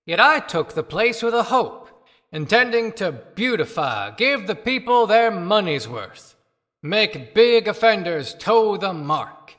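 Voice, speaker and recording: A loud, forceful reformer mayor, acted by a man, rings out in a long reverb. The voice is raised, indignant and declamatory, like stage oratory in an audio play. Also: sits high, very animated, slow, exaggerated voice. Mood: indignant, defiant. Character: indignant, defiant small-town reformer mayor.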